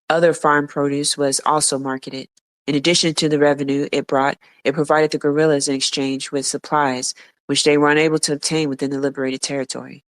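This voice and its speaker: Flat-voiced podcaster reading a book aloud. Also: mid-range voice, no echo. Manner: reads steadily, stumbles and restarts words, drops blunt offhand remarks. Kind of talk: reading nonfiction aloud with casual asides.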